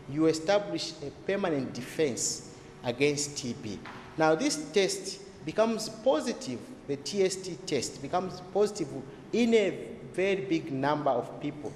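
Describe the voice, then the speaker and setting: A man with a slightly high voice explains things like a health educator in an interview. He phrases deliberately, posing questions and then answering them. The room is very echoey, with faint noise behind him. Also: darkish tone. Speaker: health expert explaining tuberculosis. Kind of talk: explanatory interview talk for a documentary.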